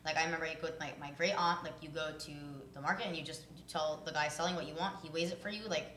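A young woman chats quickly in a bright, forward voice, on the low side. She tells casual anecdotes with quoted dialogue, in a roomy recording with faint noise. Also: fairly even intonation. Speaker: conversation participant. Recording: echoey room, faint background noise.

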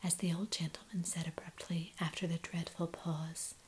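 A woman reading a classic novel aloud in a soft, unvoiced ASMR whisper. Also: on the low side, level tone, moderate pace, noisy background. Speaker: audiobook reader.

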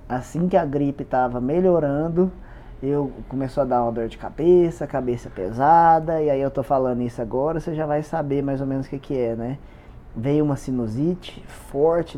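A man's voice: fairly high pitch, mellow, a little hiss or hum. A man with a stuffy, congested voice, talking casually to camera about his fitness challenge and repeating words for emphasis. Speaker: vlogger documenting a fitness challenge. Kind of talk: casual confessional vlog.

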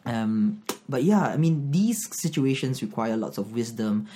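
A man's voice: mid-range voice, animated, measured pace, dark tone, slight background noise. Earnest Singaporean man, podcast guest. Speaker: Bible teacher guest. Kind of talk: podcast conversation.

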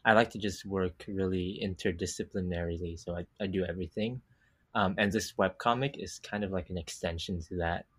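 A man's voice: sits low, fairly even intonation, a little fast, breathy edge. A young man from Vietnam, a guest on a podcast, talking thoughtfully and unhurriedly about his work, with many filler words. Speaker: podcast guest, comic artist. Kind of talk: casual interview talk.

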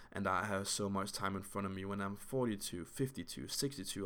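A man's voice: sits low, fairly bright tone, clean tone. A man in his twenties hosting a solo podcast, talking in an earnest, reflective way with many fillers and restarts. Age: 20s. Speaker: podcast host.

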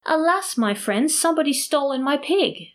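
Woman as a high, wailing, gullible robbed man. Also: sing-song, fairly quick. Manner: loud, wailing protests. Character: mean, gullible, distraught man robbed of his pig.

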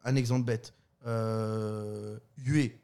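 A man's voice: on the low side, very slow, clear, resonant tone. Man, casual podcast chat, stammering restarts, laughs a lot. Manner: animated storyteller, stammering restarts, laughs often. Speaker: podcast co-host.

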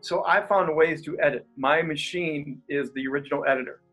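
Energetic pastor with a stutter. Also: male voice, on the high side, fairly quick, faint music behind, poor audio. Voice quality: has a stutter. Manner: energetic, animated, laughs easily. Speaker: pastor and coach. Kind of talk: interview guest, casual talk.

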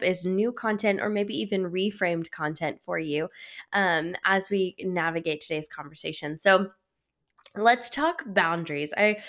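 A woman's voice: mid-range voice, fairly bright tone. A young adult woman hosting her own solo podcast, speaking with a head cold, reflective and encouraging, with fillers and restarts. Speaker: podcast host.

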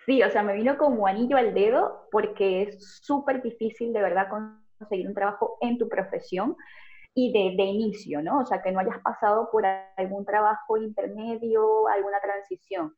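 A woman's voice: medium pitch, moderate pace, soft background music. A Venezuelan woman, a pharmacist, telling her story as a guest in a conversational interview. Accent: Venezuelan Spanish. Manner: fluent, lively storytelling with laughs. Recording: narrow phone-like video call audio.

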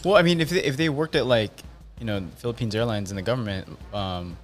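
A man's voice: mid-range voice, quick pace, soft background music. A man co-hosting a casual chat, curious and prodding with follow-up questions.

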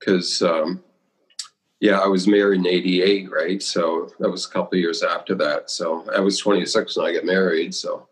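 A man's voice: sits low, little pitch movement, moderate pace. A man in his late fifties as a podcast guest, giving brief, hesitant answers full of fillers. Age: 50s. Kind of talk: casual interview chat.

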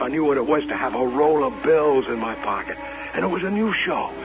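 A man's voice: fairly high pitch, creaky. An actor in an old-time radio drama playing an earnest, wistful carnival showman who tells his story dramatically. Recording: muffled old radio recording with music.

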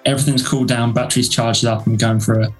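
A man's voice: mid-range voice, little pitch movement, racing, soft background music. A man with an English accent co-hosting a podcast in casual, joking chat with a self-deprecating streak. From England. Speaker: podcast co-host.

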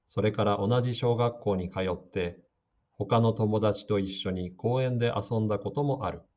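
A man giving slow, calm, scripted narration for a radio drama meant for learners. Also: fairly low pitch. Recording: dull lo-fi audio.

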